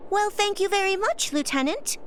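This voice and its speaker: A woman's voice: very high-pitched, expressive, moderate pace, fairly bright tone, slight background noise. An actress playing a naive, sheltered teenage girl in a radio drama, polite, earnest and curious. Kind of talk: old-time radio sci-fi drama acting.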